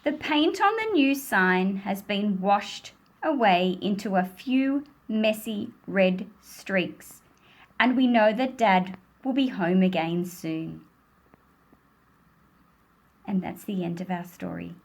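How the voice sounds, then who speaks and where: A woman reads a children's story aloud at a very slow, deliberate pace. Her delivery is gentle and expressive, and her voice sits at a medium pitch for a woman. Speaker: picture book reader.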